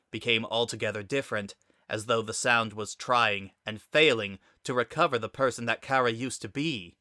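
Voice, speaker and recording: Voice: medium pitch, pushed and bright, dry recording, studio quality. A narrator giving a dramatic scripted reading of a story.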